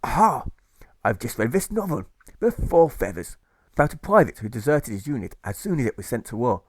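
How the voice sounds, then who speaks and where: A man's voice: medium pitch, sing-song, brisk, breathy edge, no room sound. A man voicing a bombastic, maniacal admiral who declaims and barks exclamations in a rhyming audiobook. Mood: scornful, bombastic. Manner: declamatory, barking exclamations, demented cackles. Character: bombastic, maniacal male admiral rallying for war.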